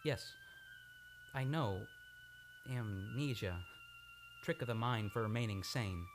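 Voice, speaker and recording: An actor playing a tormented man with amnesia in an old-time radio drama, halting and overwrought as he believes himself a killer. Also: mid-range voice, over music. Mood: bleak, resigned. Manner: halting, overwrought delivery with repeated words.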